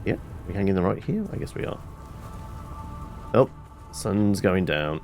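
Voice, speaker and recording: A man's voice: low-pitched, expressive, measured pace, darkish tone, soft background music. A man giving casual video game commentary, rambling and thinking aloud to himself. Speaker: gameplay streamer.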